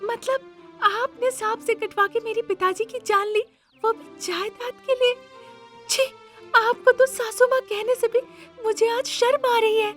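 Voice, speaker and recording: A woman's voice: very high-pitched, fairly even intonation, unhurried, recorded from a distance, faint music behind, voice pushed up. A woman voicing an innocent, distressed young daughter-in-law in a cartoon story, protesting and wounded. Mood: shocked, hurt.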